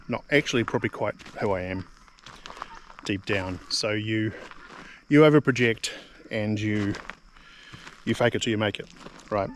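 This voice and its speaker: Rambling New Zealand man, lower voice. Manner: halting, lots of ums and repeated words. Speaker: vlogger. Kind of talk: casual rambling monologue. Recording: faint background noise.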